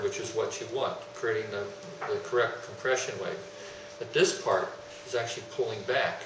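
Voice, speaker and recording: A man giving an explanatory technical presentation in a deliberate, didactic way, stressing his key terms. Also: medium pitch. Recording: roomy, distant mic, faint hum.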